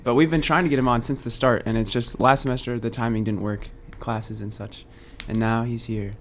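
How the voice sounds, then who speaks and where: A young man co-hosting a casual podcast, ribbing his friends playfully. He speaks at an ordinary pitch for a man, and a faint noise sits behind his voice. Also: steady tone, brisk. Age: young adult. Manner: teasing, playful. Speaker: podcast co-host. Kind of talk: casual chat.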